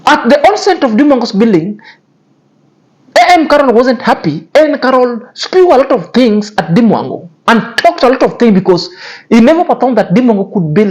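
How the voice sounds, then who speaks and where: Scornful man lecturing. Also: very high-pitched. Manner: cutting, repetitive, lecturing. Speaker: YouTube commentary host. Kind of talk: scornful commentary on influencer drama.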